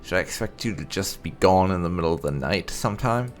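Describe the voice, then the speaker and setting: A man hosting a gaming video, giving casual, wry playthrough commentary with some light roleplay in a gravelly voice. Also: sits low, expressive, fairly quick. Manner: wry, sarcastic. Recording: game music behind. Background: game music.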